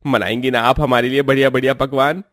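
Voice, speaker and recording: A man voicing a protective young brother-in-law in a cartoon, calm, gentle and reassuring. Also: mid-range voice, quick pace, dry recording, clean studio sound, lightly acted.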